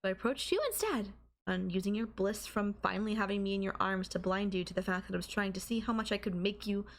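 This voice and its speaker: A woman playing a doting, obsessive and calmly manipulative girlfriend in an intimate ASMR audio roleplay, coaxing and softly teasing. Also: medium pitch, fast, close to an everyday voice. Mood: fascinated, amused. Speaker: voice actor.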